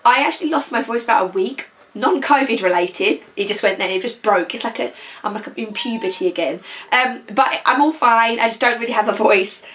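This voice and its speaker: A young woman with a slightly croaky voice, chatting casually through a beauty product review and rambling with frequent ums. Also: fairly high pitch, brisk, a bit bright. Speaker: beauty vlogger. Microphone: camera.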